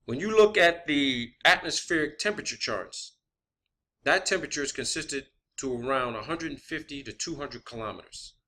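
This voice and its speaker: A man's voice: fairly high pitch, bright, forceful tone. A man giving an informal opinion monologue as a video host, emphatic and combative, often swearing. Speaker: video host presenting own theory.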